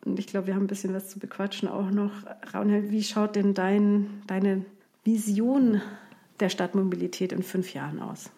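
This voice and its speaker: A woman's voice: mid-range voice, level tone, moderate pace, dark tone. Playful Bavarian-born woman interviewer. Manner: playful, teasing, laughs easily. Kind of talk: casual podcast interview.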